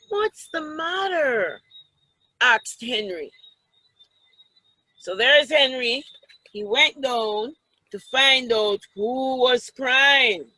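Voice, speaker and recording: A woman with a Jamaican accent reading a story aloud to children, expressive and teacherly, with asides to the listeners. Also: sits high, no echo, low-quality recording.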